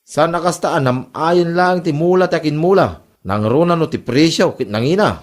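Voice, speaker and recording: Man acting chiding buddy, Ilocano accent, slightly high male voice, colloquial, teasing, comedy drama. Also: moderate pace, natural voice. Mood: teasing advice.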